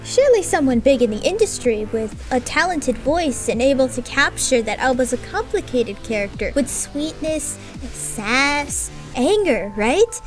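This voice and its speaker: A woman's voice: high-pitched, big pitch swings, a little slow, hardly any pauses, soft background music. A woman giving a sarcastic, swearing anime review with mock-dramatic asides. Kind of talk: sarcastic video review commentary.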